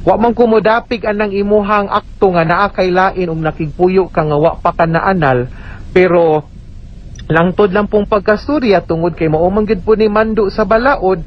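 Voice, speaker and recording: A woman's voice: medium pitch, normal speed. A woman with a Cebuano Filipino accent giving spoken legal advice on the radio, explaining emphatically and checking with tag questions. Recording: dull, noisy radio recording.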